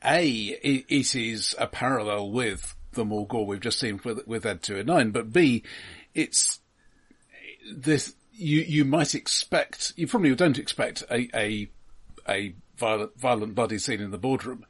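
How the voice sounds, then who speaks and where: Male co-host, husky mid voice, dry wry interjections. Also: medium pitch, unhurried. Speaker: podcast co-host. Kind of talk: casual film discussion.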